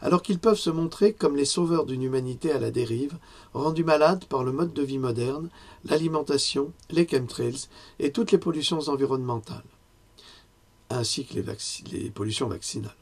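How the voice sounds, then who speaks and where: Hoarse male lecturer, husky throat, chatty delivery full of pauses and self-corrections, mid-range voice. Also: mid-range voice. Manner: conversational, with frequent fillers and self-corrections. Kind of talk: health lecture with personal asides.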